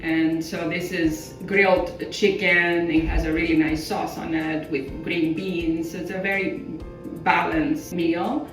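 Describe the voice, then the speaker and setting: A woman with a lowish voice, walking through a recipe in plain, practical terms with small slips in her English. She sounds a little distant from the mic in an echoey home kitchen, with faint music underneath. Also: flat delivery, slow pace. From Crete, Greece. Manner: explains steps plainly, small slips in grammar. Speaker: chef and company founder. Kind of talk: cooking demonstration.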